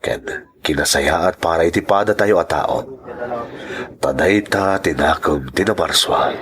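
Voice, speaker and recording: A man with an Ilocano accent acting a kindly, solemn priest in a radio drama, speaking gently and earnestly. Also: sits low, unhurried. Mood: gentle, solemn. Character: kindly, solemn male priest reassuring a woman.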